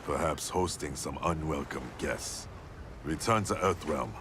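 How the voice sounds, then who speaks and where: A man voicing a stoic warrior leader in a game cutscene, measured and commanding. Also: extremely low pitch, background noise. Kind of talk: video game cutscene acting. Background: game score music.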